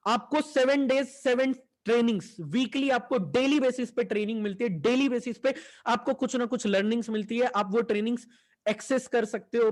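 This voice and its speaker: A young man, giving an enthusiastic sales pitch for an online business and mixing Hindi with English. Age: 20s. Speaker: online business team leader.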